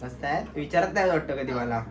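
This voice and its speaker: A man's voice: on the high side, fast talker, a crowd in the background. Young man with Konkan accent, echoey room. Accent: Konkan Marathi. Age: young adult. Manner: playful, bantering. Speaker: vlogger making a phone call. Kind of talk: casual teasing chat. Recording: roomy echo, faint background noise.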